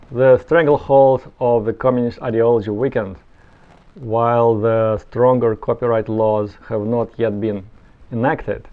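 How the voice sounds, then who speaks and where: A man's voice: medium pitch, phone-quality sound. A man giving explanatory, semi-scripted narration as a video presenter. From Soviet Union.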